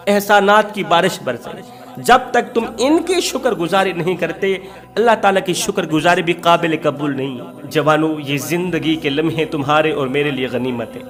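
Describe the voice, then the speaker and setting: High-pitched man preaching emotionally. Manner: impassioned, exhortatory, emphatic repetition in short rhetorical phrases. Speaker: Islamic preacher. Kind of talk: emotional sermon with moral storytelling.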